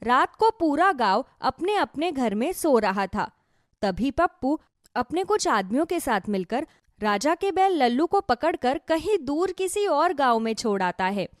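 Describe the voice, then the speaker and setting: A woman narrates a scripted fable for children. Her voice sits fairly high and moves in lively swoops of pitch, in a dry, close recording. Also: measured pace, no room sound. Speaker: storyteller, narrator.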